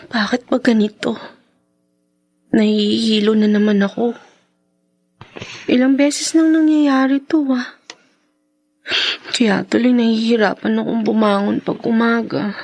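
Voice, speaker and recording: An actress playing an anxious, aggrieved young housemaid in an emotional Tagalog radio drama. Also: female voice, on the high side, a little slow, long pauses, a little mellow. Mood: distressed, fearful. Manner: emotional, melodramatic.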